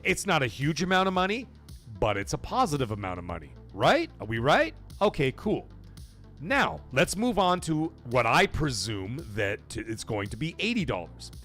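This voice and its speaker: A man hosting a gaming livestream, his pitch sitting a little high and swinging widely as he makes his case. He talks casually and emphatically to his chat, pressing his argument and often checking that they follow. Faint music plays underneath. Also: big pitch swings, normal speed, fairly bright tone. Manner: emphatic, persuasive, keeps checking in with "okay?" and "right?".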